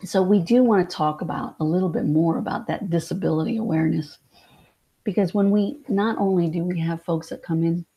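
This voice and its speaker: A woman presenting a training webinar, hoarse and raspy, talking in a halting way with many fillers and restarts. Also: on the low side, dark tone.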